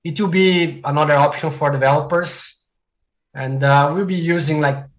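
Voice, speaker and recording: A man from Brazil whose first language is Portuguese, giving a technical presentation as a developer, with frequent "uh" fillers and measured delivery. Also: a little high, steady tone, highs cut off. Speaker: conference speaker, software developer.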